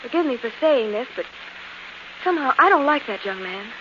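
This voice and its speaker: An actress playing a polite, uneasy young niece, hesitant and earnest, in a 1940s radio drama. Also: female voice, high voice, expressive, fairly quick. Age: young adult. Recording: hissy, muffled 1940s radio broadcast.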